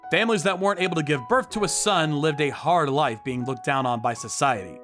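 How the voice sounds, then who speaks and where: A man narrating a manga dub story in the first person with earnest, expressive delivery. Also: a little high, fairly quick, soft background music. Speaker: narrator and voice actor.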